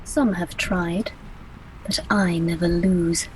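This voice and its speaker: Woman as imperious Grim Reaper, lowish voice, slow deliberate delivery, formal, exasperated, radio play, noisy background. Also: steady tone. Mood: coolly formal.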